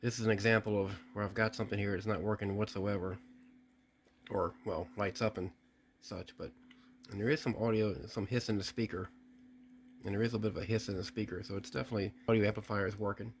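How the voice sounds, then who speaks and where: A man's voice: lowish voice, little pitch movement, quick pace, a little hiss or hum. A man talking casually as he unboxes and tests an old radio, thinking aloud with small restarts. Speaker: radio hobbyist testing gear.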